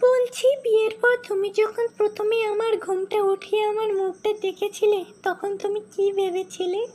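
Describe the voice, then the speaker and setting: A woman voicing a quick-tempered, demanding young wife in exaggerated comic cartoon skits. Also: very high voice, level tone, a little mellow. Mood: coy. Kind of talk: comic cartoon dubbing in short joke skits.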